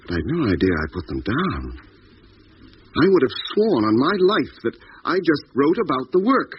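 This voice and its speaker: An actor in a 1950s British radio drama playing an earnest, troubled doctor on a space expedition, speaking in a hesitant, reflective way. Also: male voice, medium pitch, sing-song, moderate pace, close to an everyday voice. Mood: troubled, sincere. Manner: hesitant, reflective, protesting sincerely. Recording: muffled, noisy 1950s radio recording.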